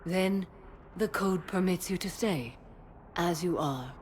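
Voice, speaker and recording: An actress playing a stern, ageless alien warrior-nun and mother in a video game cutscene, speaking in a solemn, measured, formal way. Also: fairly low pitch, darkish tone, a little hiss or hum. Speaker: justicar. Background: orchestral score.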